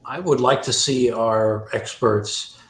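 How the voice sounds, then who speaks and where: A man's voice: medium pitch, fairly even intonation, slow, a little hiss or hum. A man speaking as an interview guest and author, thoughtful and discursive, often rephrasing himself as he goes. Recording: roomy, echoing sound.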